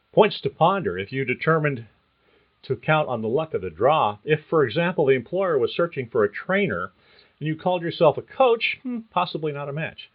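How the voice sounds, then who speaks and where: An older man hosting a career-coaching podcast, reading a prepared monologue in a measured, earnest way. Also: medium pitch. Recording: thin phone-like sound.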